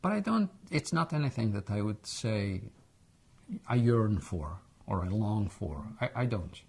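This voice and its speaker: An older man from Egypt with a foreign accent, reflecting haltingly in an oral history interview. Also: on the low side, animated, creaky, darkish tone, husky. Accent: foreign English accent.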